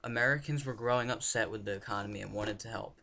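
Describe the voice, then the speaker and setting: A man's voice: fairly low pitch, fast, creaky, pushed and bright. A man narrating a scripted newsreel, reading from prepared text.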